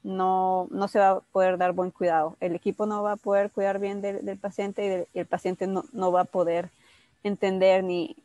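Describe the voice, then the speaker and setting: A woman doctor answering patients' questions on a video call, speaking haltingly with many restarts. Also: mid-range voice, little pitch movement, dark tone, no echo, poor audio. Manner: halting, many restarts, switches between English and Spanish. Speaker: doctor at a cystic fibrosis center.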